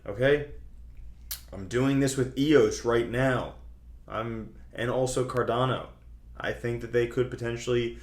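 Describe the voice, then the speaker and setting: A man hosting an online video, explaining things to viewers in a chatty, colloquial, emphatic way. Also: medium pitch. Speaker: host of a cryptocurrency trading video channel. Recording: roomy, echoing sound.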